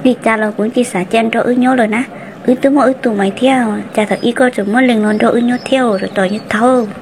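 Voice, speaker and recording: A woman voicing a female character in an amateur animated cartoon drama. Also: fairly high pitch, a little fast, noisy background, natural voice. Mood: conversational. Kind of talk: hobby animated cartoon dialogue.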